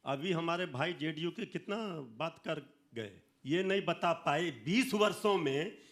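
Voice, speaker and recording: A man's voice: fairly high pitch, measured pace, a bit bright. A man with an Indian accent arguing insistently and accusingly as a panelist in a political TV debate. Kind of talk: combative TV debate.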